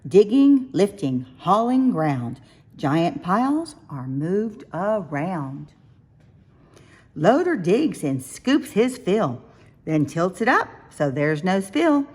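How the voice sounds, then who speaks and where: A woman reading a rhyming picture book aloud for young children, with expressive, sing-song, deliberate phrasing. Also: fairly low pitch, husky. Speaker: children's storybook reader.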